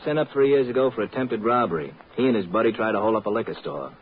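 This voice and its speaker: A man's voice: fairly low pitch, fast, natural voice. An actor playing a jovial, folksy, slangy newsman informant in an old radio drama, breezy and teasing. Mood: matter-of-fact. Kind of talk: 1940s-50s radio drama acting. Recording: muffled, noisy old radio recording.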